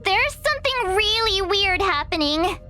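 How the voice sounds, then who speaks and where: A girlish young game heroine, bubbly and impulsive by nature. Her voice sits extremely high and she takes her words slowly, with faint music behind her. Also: animated, pushed and bright, heavily acted. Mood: uneasy, confused. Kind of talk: video game voice acting.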